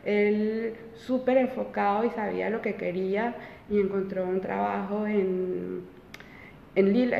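A woman's voice: mid-range voice, vocal fry, a little mellow, slight background noise. A woman in her twenties, an interview guest telling her own story casually, with many fillers and restarts. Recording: roomy, reverberant, dull sound.

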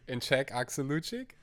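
A man from Germany, a podcast guest talking casually and hesitantly from a bedroom at home, with many restarts. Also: fairly high pitch. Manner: hesitant, full of restarts and laughs. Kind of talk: casual conversational interview.

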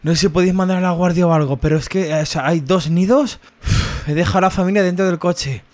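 A man's voice: sits high, quick pace, a little mellow, no echo, lightly acted. A man with an accent from Spain playing a frantic, breathless motorist who stammers and pleads for help on a prank call. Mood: breathless urgency. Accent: Spain Spanish. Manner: stammering, sighing, urgent.

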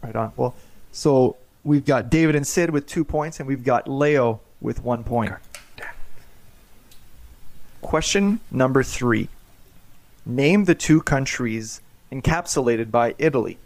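Man, quiz host, middle pitch, jokey, repeats himself. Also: lively intonation, unhurried, darkish tone. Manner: joking, stumbles and repeats words. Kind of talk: hosting a podcast trivia game.

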